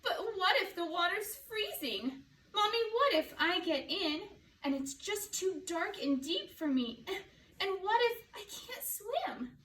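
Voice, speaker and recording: A small, frightened penguin child from a storybook, acted out by a woman. The voice is high and swoops with fretful, pleading worry. It sounds distant from the microphone. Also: sing-song, takes it slowly, a bit bright, heavily acted. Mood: scared, pleading. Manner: fretful string of worried questions. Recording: echoey, distant mic, faint background noise.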